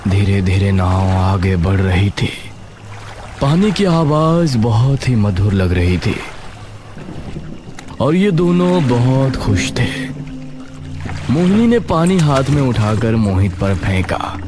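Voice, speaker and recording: A man's voice: lowish voice, big pitch swings, slow pace, long pauses. A man narrating a scripted horror story in a dramatic storytelling style. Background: music.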